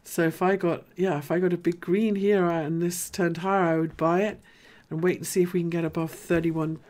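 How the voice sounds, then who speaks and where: A woman with a deep voice, hosting a live market analysis show. She talks casually and thinks aloud as she goes. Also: steady tone, a little fast, darkish tone. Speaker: host.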